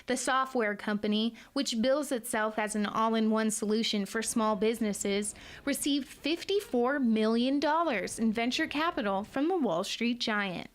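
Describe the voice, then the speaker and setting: High-pitched young American woman reporting news. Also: moderate pace, hardly any pauses. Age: 20s. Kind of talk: scripted broadcast news narration.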